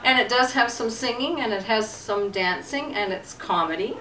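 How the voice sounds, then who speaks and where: A woman's voice: a little high, moderate pace, pushed and bright. A woman who directs theatre, talking unscripted about her work in an interview. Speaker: experienced amateur theatre director being interviewed. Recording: roomy echo, distant microphone.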